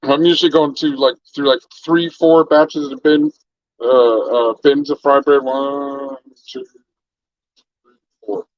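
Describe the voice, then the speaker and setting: A man's voice: mid-range voice, fairly even intonation, takes it slowly, long pauses, dry recording, dull audio. A man from Canada hosting a casual livestream chat, laid-back and rambling as he greets viewers by name. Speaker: livestream host.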